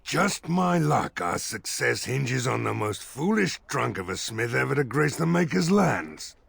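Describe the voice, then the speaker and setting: An actor voicing a weary, gruff village mayor in a video game, blunt and grumbling, with a gravelly, husky voice. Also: male voice, medium pitch, very animated, a little slow, fairly bright tone, heavily acted. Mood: wry, grumbling.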